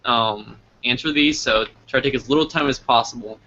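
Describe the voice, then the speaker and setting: A man's voice: medium pitch, a little fast, bright, forceful tone, muffled recording. A man hosting a casual live interview, talking informally as he puts questions and addresses the audience. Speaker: interview host.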